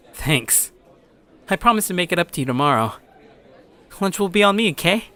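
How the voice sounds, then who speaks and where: A man's voice: sits high, big pitch swings, measured pace, sharp tone, full character voice. A man playing an earnest, nervous teenage schoolboy in an anime-style audio drama, hesitant and pleading in manner. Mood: friendly, flustered.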